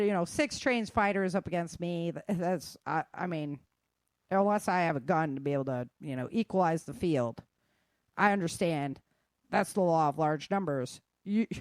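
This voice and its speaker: A woman's voice: lowish voice, moderate pace, no room sound. A woman hosting a talk show, giving casual, emphatic advice straight to her listeners. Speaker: host of a self-defense and survival show.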